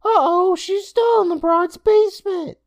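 Day Day, a squeaky, panicky boy. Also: animated, heavily acted. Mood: nervous. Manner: squeaky, loud, rushed outbursts. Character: panicky young boy, jumpy and nervous.

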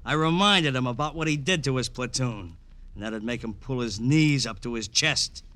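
A bitter, sneering tough guy, played by a male actor, with a very bright, hard-edged voice. He drips sarcasm in the punchy manner of a vintage radio crime drama. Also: medium pitch, moderate pace, natural voice. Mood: taunting. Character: bitter sardonic pool-hall tough, ex-soldier. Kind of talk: old-time radio drama acting.

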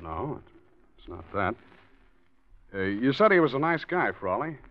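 A man's voice: medium pitch, very animated, measured pace. An actor playing a wary middle-aged man who weighs every word, in a muffled old radio drama. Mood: guarded. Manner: guarded, hesitant, clipped replies. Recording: muffled 1940s radio recording.

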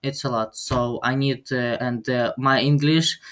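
Teenage boy, Ukrainian accent, halting English, slow pace, smooth clear voice. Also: mid-range voice, steady tone, fairly bright tone. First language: Ukrainian and Russian. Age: teens. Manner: halting, hesitant non-native English with many fillers. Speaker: guest. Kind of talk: podcast interview.

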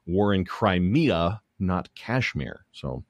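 Low, lively American male host. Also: no echo. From United States. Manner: halting, rambling question delivery. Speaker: show host, interviewer. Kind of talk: casual comedy interview.

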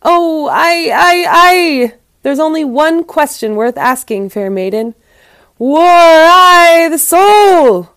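A woman's voice: a little high, expressive, moderate pace, hardly any pauses, pitched above the performer's own voice, natural voice. A woman voicing a trapped male wolf from a storybook, wailing theatrically in courtly old-fashioned phrases. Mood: wailing, urgent.

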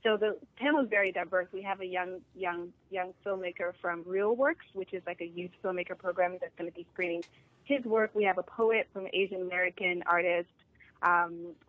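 A woman's voice: on the low side, steady tone, fairly quick, no room sound. A woman, a film festival founder, chatting as a guest on a radio show by phone, bubbly, laughing often and using many fillers. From Liberian family. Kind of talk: casual radio interview. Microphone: phone line. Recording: tinny dry phone line.

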